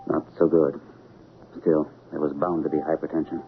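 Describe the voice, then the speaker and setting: An actor playing an authoritative chief surgeon in an old radio drama, giving clipped commands and measured, formal explanations. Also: male voice, rumbling low, level tone. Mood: controlled, businesslike. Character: composed, authoritative male chief surgeon lecturing while operating. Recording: narrow, noisy old radio recording.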